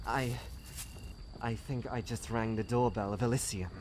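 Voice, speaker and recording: A man's voice: medium pitch, steady tone, moderate pace, heavy background noise, lightly acted. A man playing a witty, unflappable female archaeologist adventurer in a comic dub, full of wry quips and muttered asides. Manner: wry, quipping, talks to self. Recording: music behind the voice. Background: clear music.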